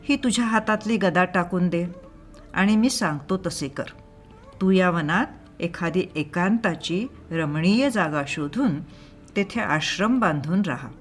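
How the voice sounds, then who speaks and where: Woman reading a measured, low-voiced ascetic sage. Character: male ascetic sage, calm, counselling.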